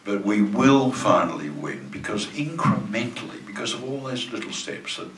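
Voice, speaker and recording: An older man with a very deep voice, speaking in punchy, wry bursts and swinging his pitch widely. He is heard from a distance in an echoey auditorium, speaking into a table mic. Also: big pitch swings, normal speed. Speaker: guest speaker. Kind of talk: public talk at a forum. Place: auditorium with audience.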